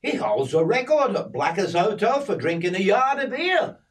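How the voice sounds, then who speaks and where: A man's voice: high voice, big pitch swings, moderate pace, distant mic, pitched above the performer's own voice. A man voicing a friendly, chatty young country lad with an Australian accent. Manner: chatty, easygoing.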